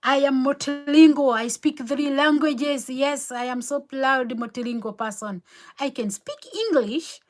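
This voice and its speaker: A woman's voice: high voice, measured pace. A Kenyan woman, native Kikuyu speaker, hosting a motivational live stream, earnest and encouraging, repeating her key phrases. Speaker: motivational livestream host. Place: home in New York City.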